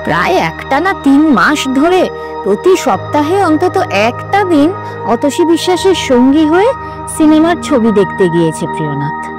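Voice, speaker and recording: Female story reader, high voice, highly animated dramatic narration over clear background music. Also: measured pace. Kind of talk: scripted literary audiobook narration.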